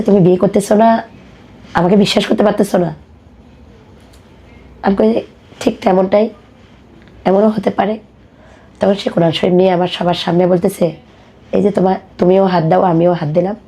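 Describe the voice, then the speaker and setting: Husky, bright-voiced Bangladeshi woman. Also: fairly low pitch. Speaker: podcast guest. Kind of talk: personal life story told in conversation.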